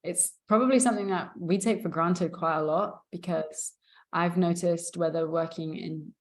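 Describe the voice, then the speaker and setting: Podcast host: British woman, voice a touch low, chatting through interview questions and reflections. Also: moderate pace. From England.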